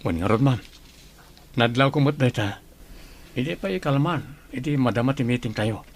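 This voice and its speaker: A man's voice: medium pitch, normal speed, natural voice. A man playing a modest, patient and friendly young teacher in an audio drama. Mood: puzzled. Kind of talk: Ilocano audio drama acting.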